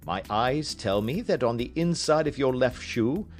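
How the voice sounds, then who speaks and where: A man voicing a brisk, self-assured gentleman detective in an audiobook, precise, emphatic and teasing. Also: mid-range voice, animated, soft background music, voice pushed up, lightly acted. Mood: brisk, confident. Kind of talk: audiobook character reading.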